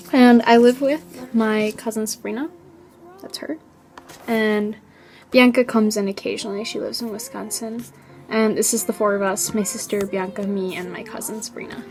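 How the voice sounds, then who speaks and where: A woman's voice: fairly high pitch, a bit bright. A young woman narrating her own documentary in an informal, light way with some fillers.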